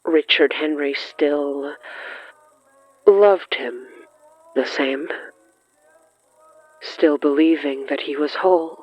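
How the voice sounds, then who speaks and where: A narrator reading scripted horror fiction in the third person, brooding and dramatic, with lingering pauses. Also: medium pitch, no echo. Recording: muffled lo-fi sound with music underneath. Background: music.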